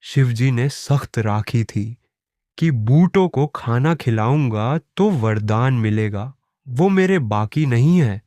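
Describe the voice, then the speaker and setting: A man's voice: mid-range voice, animated, slow pace, warm tone, no room sound. A man voicing a hapless, cheeky village priest in a cartoon, with a theatrical, pleading manner. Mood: worried, pleading.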